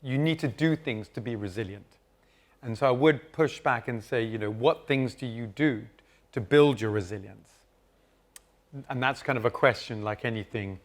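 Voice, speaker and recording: A man moderates a conference panel in a calm, advisory tone, explaining ideas patiently. His voice sits at an ordinary middle pitch. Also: moderate pace. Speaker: host and moderator. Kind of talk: panel moderation and advice at a live event. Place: conference stage.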